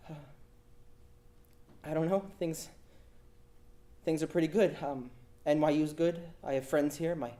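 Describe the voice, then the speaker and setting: Scared sad young college girl, guy putting on the voice, higher pitched, halting and unsure. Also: fairly even intonation, lightly acted. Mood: hesitant. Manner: hesitant, halting, quiet.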